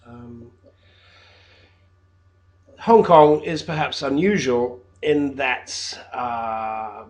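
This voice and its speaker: A man in his fifties, a teacher talking to camera from his flat in a hesitant video job application, with a loud voice and frequent ums and uhs. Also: medium pitch. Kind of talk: unscripted video application monologue. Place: his own flat, indoors.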